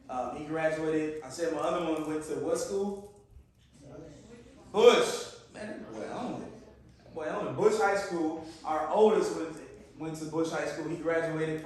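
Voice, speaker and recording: A man's voice: on the high side, a little hiss or hum. A man in his forties from Detroit, giving a casual, slangy talk to a youth group and calling on the crowd. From Detroit, Michigan. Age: 40s. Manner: conversational, calls on the crowd, slangy. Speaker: youth speaker. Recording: echoey room, distant mic.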